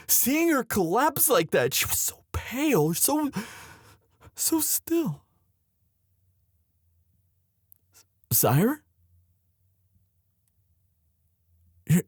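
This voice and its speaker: A man playing a lovestruck young prince in an intimate audio roleplay, tender and protective, with a halting, emotive delivery. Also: sits high, breathy edge, dry recording, studio quality, heavily acted. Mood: anguished. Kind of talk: boyfriend ASMR audio roleplay drama.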